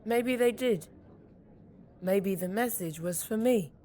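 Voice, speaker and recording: A woman's voice: mid-range voice, slow pace, warm tone, close to an everyday voice. A woman playing a determined, impatient young girl detective in an audio drama, urgent and earnest. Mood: brooding.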